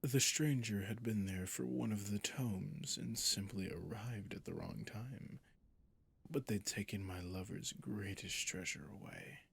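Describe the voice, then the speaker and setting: A man playing a sadistic, seductive vampire in an ASMR roleplay, smug and world-weary, his breathy voice often sinking to a whisper as he savours his words with intimate condescension. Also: on the low side, a little slow, no room sound, exaggerated voice. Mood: smug, persuasive. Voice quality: breathy, husky, gravelly, often dropping to a whisper. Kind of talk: scripted ASMR roleplay monologue.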